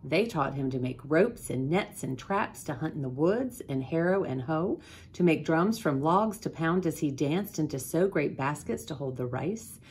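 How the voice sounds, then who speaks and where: A woman in her forties reading a children's picture book aloud in an expressive, deliberate way, pausing to put questions to listeners. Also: low-pitched, a little hiss or hum. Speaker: school principal, guest storybook reader. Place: small office, indoors.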